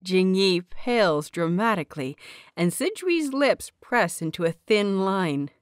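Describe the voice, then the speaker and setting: A woman's voice: medium pitch, big pitch swings, measured pace, clear, resonant tone, no room sound, clean studio sound. A woman reading a scripted fan fiction story aloud as its narrator.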